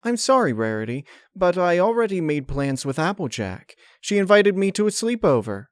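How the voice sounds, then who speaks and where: A man's voice: high-pitched, very animated, moderate pace, no room sound, studio quality, pitched above the performer's own voice. A man voicing a sweet, apologetic young female pony in an earnest, hesitant way for a story reading.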